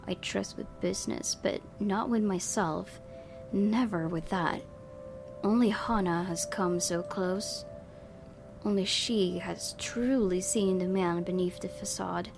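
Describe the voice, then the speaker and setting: A woman's voice: mid-range voice, vocal fry, darkish tone. A woman hosting a gaming video, reading a visual novel's narration aloud and dropping in casual asides. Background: game music.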